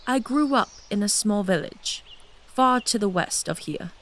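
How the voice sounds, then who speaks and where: A woman voicing an immortal, elegant queen who speaks softly and gently in an audio drama. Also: mid-range voice, animated, measured pace, close to an everyday voice. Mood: wistful. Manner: soft, gentle and wistful. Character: immortal elegant queen, soft-spoken blood mage.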